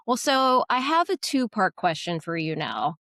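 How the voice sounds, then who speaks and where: A woman's voice: mid-range voice, sing-song, normal speed, fairly bright tone, no room sound, clean studio sound. A woman hosting a podcast interview, speaking in an eager, grateful way. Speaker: podcast host.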